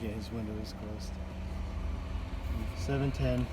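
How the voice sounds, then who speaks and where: A man chatting casually in a rambling vlog, speaking haltingly and often pausing mid-sentence. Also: lowish voice, steady tone. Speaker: vlogger. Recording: loud vehicle and outdoor noise.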